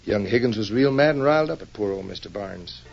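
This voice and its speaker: A man's voice: lowish voice, expressive, brisk, gravelly, a little mellow, a little hiss or hum. An actor playing a rustic, plain-spoken ranch foreman testifying in a 1940s radio western, with folksy talk. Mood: folksy, earnest. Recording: muffled 1940s radio recording.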